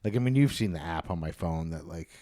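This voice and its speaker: A man chatting casually as a podcast host, in a rambling, halting, sweary way. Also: low-pitched, lively intonation, warm tone. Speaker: podcast host and band bass player. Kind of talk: casual chat.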